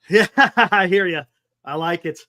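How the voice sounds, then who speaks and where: A man's voice: high-pitched, measured pace, sharp tone, no echo, clean studio sound. An American man co-hosting a casual sports talk show, speaking in a blunt, colloquial way.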